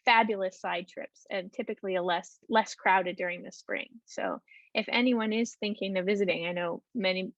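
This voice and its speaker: A woman's voice: mid-range voice, vocal fry, no room sound. A woman presenting a travel talk and answering audience questions over a video call, hesitant with many ums and restarts. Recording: dull lo-fi video call audio.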